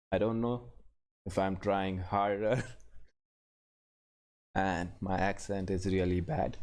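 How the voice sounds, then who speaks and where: A young man who is not a native English speaker, talking through a casual screen-recorded software tutorial in a halting, self-deprecating way, often restarting and chuckling. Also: lowish voice.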